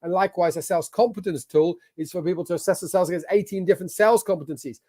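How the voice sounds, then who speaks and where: Upbeat English man, fairly high voice. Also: rapid-fire, dark tone. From England. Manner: emphatic, motivational. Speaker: business coach. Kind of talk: online masterclass presentation. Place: at a computer sharing his screen.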